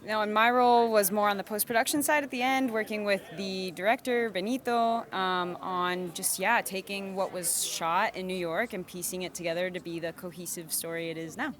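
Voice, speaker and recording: A woman, a film editor, describing her post-production work in a relaxed way. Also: mid-range voice, normal speed, few pauses.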